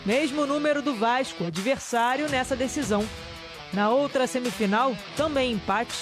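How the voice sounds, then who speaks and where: A woman reading a sports news report as a voice-over, in a measured and clear news delivery. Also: high-pitched. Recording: voice-over with music underneath.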